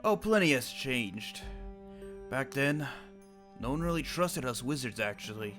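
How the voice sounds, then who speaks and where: A man's voice: medium pitch, expressive, measured pace, over music. A young man roleplaying an old immortal wizard, rambling and restarting his sentences. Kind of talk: in-character roleplay chat.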